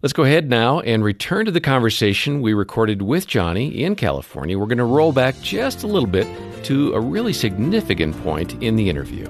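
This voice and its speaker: A man co-hosting a radio program, delivering introductions in a smooth, polished announcer manner. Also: mid-range voice, big pitch swings, brisk, faint music behind. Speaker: co-host and announcer.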